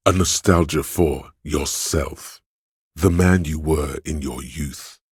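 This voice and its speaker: A hedonistic inner voice from a video game, an extremely deep, heavily husky male tempter. He speaks slowly, savoring each word as he coaxes and goads with mocking, seductive relish. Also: no echo, clean studio sound, full character voice. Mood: swaggering, hyping. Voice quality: husky, gravelly bass. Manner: slow, coaxing, savoring words, mocking. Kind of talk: dramatic second-person game narration.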